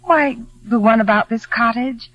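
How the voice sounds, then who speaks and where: An actress playing a shy, gentle young maid in a 1940s radio drama, soft-spoken, polite and wistful. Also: female voice, a little high, moderate pace, close to an everyday voice. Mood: polite, eager. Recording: muffled vintage radio recording.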